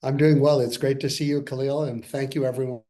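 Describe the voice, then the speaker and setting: A man in his sixties from Canada, a company co-founder earnestly pitching to investors in a webinar over a video call. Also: level tone, a little fast, dark tone. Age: 60s. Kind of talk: webinar presentation. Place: parents' home, by video call.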